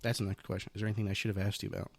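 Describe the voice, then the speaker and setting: A man in his twenties hosting a podcast, chatting casually and rambling through stories in quick bursts. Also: on the low side, little pitch movement, vocal fry. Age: 20s. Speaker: podcast host. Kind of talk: casual interview chat.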